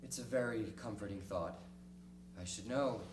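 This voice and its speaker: Heard from far off in an echoey theatre, a man plays a lonely high school boy. He is self-deprecating and sarcastic, reading as in a stage drama, over noticeable hum. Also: moderate pace, close to an everyday voice. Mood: wistful. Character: lonely, self-doubting male high school student narrating his life. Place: theatre stage, live performance. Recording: distant, echoey, noisy theatre recording with hum.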